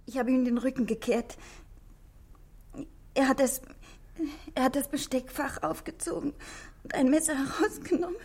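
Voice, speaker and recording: High, husky, guilt-ridden frightened woman. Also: fairly even intonation, a little mellow. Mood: agitated. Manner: halting, pleading, repeats herself. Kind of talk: 1960s German radio drama acting. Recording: vintage 1960s radio studio recording.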